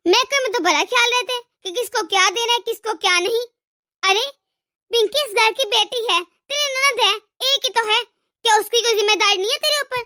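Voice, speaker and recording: Woman doing a very squeaky, nagging cartoon mother-in-law. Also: sharp tone. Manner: nagging, sarcastic. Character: scolding, demanding mother-in-law, fiercely partial to her daughter. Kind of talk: animated family drama acting.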